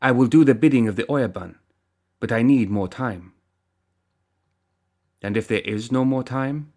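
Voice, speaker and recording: A man voicing a fierce, resentful woman, a rail-worker rebel bound by duty, with a stiff, forceful delivery in an audiobook. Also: mid-range voice, measured pace, voice pushed up, lightly acted. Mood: strained defiance. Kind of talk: audiobook character acting.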